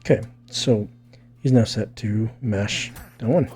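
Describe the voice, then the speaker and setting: Low, mellow-voiced man explaining game tactics. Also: unhurried, a little hiss or hum. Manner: rambling, thinks aloud, tags points with "right?". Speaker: gaming video creator explaining techniques. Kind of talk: casual fighting game tutorial commentary.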